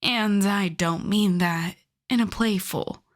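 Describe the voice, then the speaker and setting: A woman voicing a possessive, doting yandere wolf girl in an intimate ASMR roleplay, soft and often whispered, sweet with a menacing edge. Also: medium pitch, natural voice. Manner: slow, cooing, lingering over endearments.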